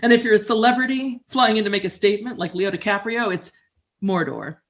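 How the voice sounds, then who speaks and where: A woman's voice: mid-range voice, fairly quick. Wry woman telling her story. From Canada. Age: middle-aged. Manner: wry, conversational, expressive. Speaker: performer. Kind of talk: theatrical storytelling monologue.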